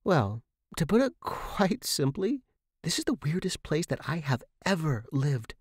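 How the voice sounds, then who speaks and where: A man acts out a friendly young woman in an audio story. She is chatty and informal, pitched up from the narrator, with swooping, emphatic intonation. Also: very animated, measured pace, husky, no echo, clean studio sound, full character voice. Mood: uneasy. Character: friendly, giggly young woman, a new housemate.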